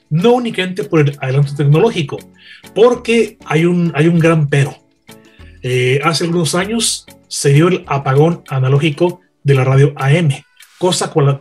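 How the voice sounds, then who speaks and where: A man with a Mexican accent giving earnest, measured, eloquently phrased commentary as a broadcaster on a panel. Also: on the high side, darkish tone, slightly rough. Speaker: radio broadcaster, panel guest.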